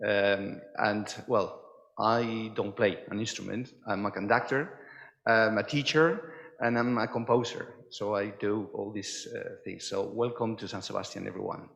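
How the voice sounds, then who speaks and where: A man's voice: lowish voice, steady tone, unhurried, gravelly, fairly bright tone. A man, introducing himself at a conference in a friendly, brief way. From Spain. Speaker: conductor and teacher. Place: conference hall. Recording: reverberant hall.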